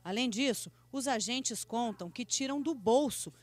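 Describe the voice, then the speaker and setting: A Brazilian woman reads a news voice-over in a measured reporter cadence. Her voice sits a little higher than average. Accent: Brazilian Portuguese.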